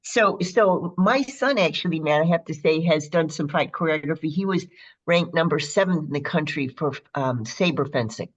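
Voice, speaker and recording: A woman's voice: deep voice, normal speed. A woman with a Midwestern accent hosting a radio interview, chatty and bubbly, with frequent fillers. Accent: Midwestern American. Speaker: radio show host.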